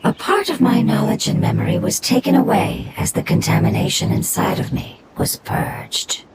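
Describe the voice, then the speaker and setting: A woman voicing an ancient, sorrowful non-human being in a video game, calm, solemn and formal. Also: on the high side, moderate pace, creaky, heavy background noise, full character voice. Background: game music.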